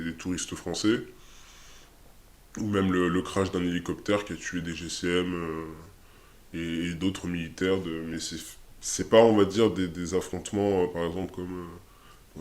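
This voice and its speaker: Homme à la voix très grave, hésitant. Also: male voice, deep voice. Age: 20s. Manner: hesitant, many fillers and restarts. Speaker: interviewee, army soldier. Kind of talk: casual interview answers.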